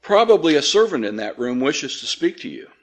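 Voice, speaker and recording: A man voicing a proper gentleman houseguest in an audiobook, formal and stiffly calm in manner. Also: fairly high pitch, fairly quick. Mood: stiff, insistent. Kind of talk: audiobook character voice.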